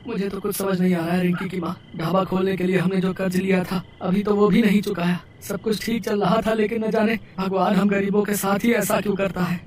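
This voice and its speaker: A man's voice: sits high, flat delivery, fairly quick, hardly any pauses, a little mellow, a little hiss or hum, close to an everyday voice. A man voicing a poor, humble roadside eatery owner in a moral story, deferential and pleading. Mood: despairing. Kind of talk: Hindi moral story voice acting.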